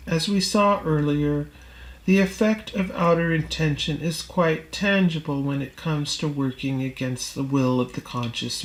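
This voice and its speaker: A woman reading a self-help book aloud as scripted narration, in a deliberate, measured manner. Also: low voice, poor audio. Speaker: audiobook reader.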